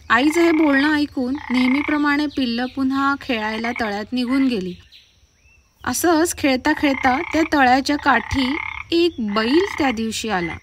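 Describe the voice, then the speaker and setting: A woman narrating scripted moral stories for children in an expressive way, speaking directly to them. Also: high-pitched, background noise. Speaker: children's storyteller. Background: background music.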